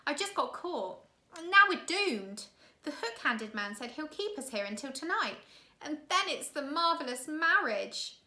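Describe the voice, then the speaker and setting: A woman's voice: high voice, sing-song, a little slow, pushed and bright, voice pushed up, heavily acted. A woman voicing a worried, resourceful orphan girl in a storybook, earnest, in a roomy space. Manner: earnest, downhearted. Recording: roomy, echoey room sound.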